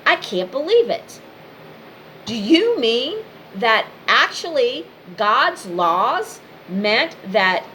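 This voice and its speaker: A woman's voice: medium pitch, sharp tone, slight background noise, rough recording. A woman giving an impassioned, informal monologue straight to the listener, speaking in emphatic, repetitive short phrases.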